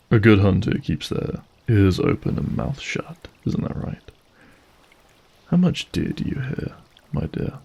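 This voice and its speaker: Give a husky, low-pitched monster host played by a man for an audio drama. He is mockingly courteous and menacing, taking his time over each phrase in a dark, gravelly murmur. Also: full character voice. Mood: silky threat. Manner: theatrical, mock-courteous, deliberate. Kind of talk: scripted audio drama acting.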